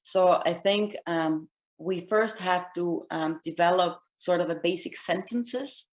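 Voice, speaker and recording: A woman with a deep, low voice, speaking English as a later language. She explains things earnestly and step by step, checking in with "right?" as she goes. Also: unhurried. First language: Russian. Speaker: dance instructor, guest. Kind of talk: conversational interview answer.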